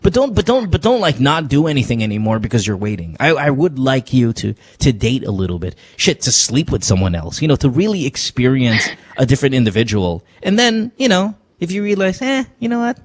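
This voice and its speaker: A man's voice: mid-range voice, big pitch swings, brisk, hardly any pauses. A man hosting a call-in advice show, joking and frank, who drops Tagalog words into his talk. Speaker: radio advice show host. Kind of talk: casual call-in advice chat.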